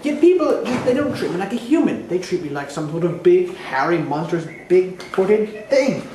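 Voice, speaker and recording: A man's voice: high-pitched, very animated, moderate pace, heavy background noise. A man voicing an aggrieved, well-spoken Bigfoot being interviewed in a comedy sketch, plaintive and stammering. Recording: roomy echo, music clearly behind.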